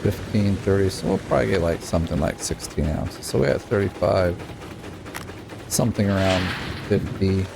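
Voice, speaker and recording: A man's voice: low voice, normal speed, gravelly, background noise. A man from Utah giving casual, rambling commentary as he plays a video game, muttering at it as he goes. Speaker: gaming YouTuber. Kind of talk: casual let's-play commentary.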